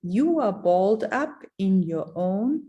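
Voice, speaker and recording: A woman reading a text aloud as a narrator, in a theatrical, deliberate storytelling way with dramatic pauses. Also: mid-range voice, sing-song, warm tone, muffled recording.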